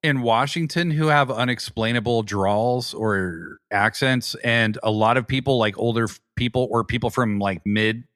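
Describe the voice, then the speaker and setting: A man speaks steadily on a podcast, mixing show plugs with deadpan jokes. His pitch sits in the middle range. The sound is dry and close. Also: moderate pace, few pauses, no room sound. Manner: steady, matter-of-fact, dry humor. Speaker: podcast co-host. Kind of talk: casual chat, show plugs.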